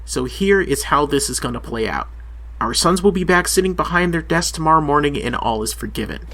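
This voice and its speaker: A man's voice: a little high, animated, a little fast, fairly bright tone. A man playing a blunt small-town police chief. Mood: firm. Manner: firm, curt and profane. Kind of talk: audio drama acting.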